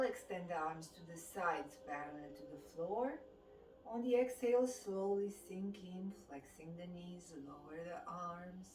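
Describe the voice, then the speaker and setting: A woman with a Romanian accent leads a qigong session, giving slow, calm cues that follow the breath. Her voice sits at a middle pitch and comes from some distance off the mic, with a roomy echo around it. A faint hum of ambience sits underneath. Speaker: fitness instructor. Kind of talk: guided qigong class instruction.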